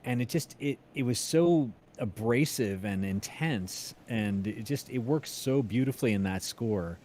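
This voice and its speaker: A man co-hosting a music show, telling stories in a casual, animated, halting and slangy way. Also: mid-range voice, a little hiss or hum. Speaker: co-host of a music interview show. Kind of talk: casual chat, enthusiastic storytelling.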